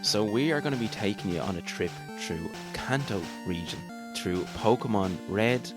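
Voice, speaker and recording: Deep-voiced man chatting over guitar music. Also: lively intonation, moderate pace. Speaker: podcast co-host. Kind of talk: casual, enthusiastic chat. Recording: music with guitar clearly behind.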